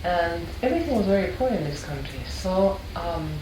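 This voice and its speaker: A woman's voice: lowish voice, expressive, normal speed, distant mic. An older woman from Ecuador, a longtime art history teacher, telling her story in an earnest, reflective way with frequent restarts. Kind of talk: personal storytelling interview. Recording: echoey room, mic set back, background noise.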